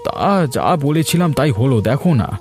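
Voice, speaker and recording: A man's voice: mid-range voice, lively intonation, moderate pace, a little mellow, close to an everyday voice. Man acting concerned, earnest cartoon village fisherman. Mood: earnest, admonishing. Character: concerned, sensible village fisherman, advising neighbour. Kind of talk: Bengali cartoon folk-tale voice acting. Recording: music and rain behind the voice.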